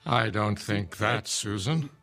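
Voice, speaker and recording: Deep-voiced shrink, radio drama, measured n detached, little bit of music behind. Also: male voice, fairly bright tone. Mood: detached, probing. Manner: clinical, measured, reserved. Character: measured, detached psychiatrist listening to a patient.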